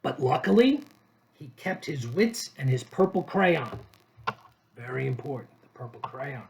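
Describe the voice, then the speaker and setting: Slow, expressive man reading a storybook. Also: mid-range voice, gravelly. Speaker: storybook reader. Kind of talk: expressive children's story read-aloud.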